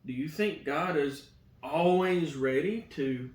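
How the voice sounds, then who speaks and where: A man's voice: fairly high pitch, takes it slowly, roomy sound. A man talking casually with his wife about faith and marriage, earnest and preachy, often repeating himself. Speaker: co-host, husband.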